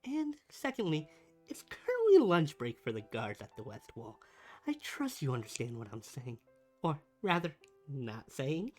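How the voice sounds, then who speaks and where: Supervillain, male actor, theatrical but earnest and needy. Very animated intonation, ASMR roleplay monologue over background music. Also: a little high. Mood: sly, playful. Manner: dramatic, earnest, halting. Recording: music behind the voice.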